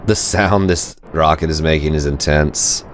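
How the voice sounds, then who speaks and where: Very deep-voiced congested man gaming. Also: a little slow, slight background noise. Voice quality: congested, nasal. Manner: thinking aloud, rambling with fillers. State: stuffed up with a cold. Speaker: gaming channel host. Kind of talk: let's play commentary.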